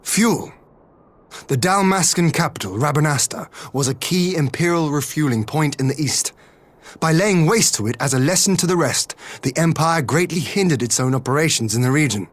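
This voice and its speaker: An actor playing a shrewd, theatrical strategist in a video game cutscene, speaking in deliberate, formal phrases with a husky voice. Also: male voice, on the high side, animated. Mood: grave, explanatory. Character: shrewd, theatrical male strategist, musing and confident.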